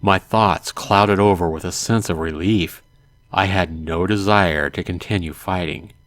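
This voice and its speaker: A man hosting a let's play, reading a visual novel aloud in a dramatic way and adding hushed asides. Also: sits low, a little slow. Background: game music.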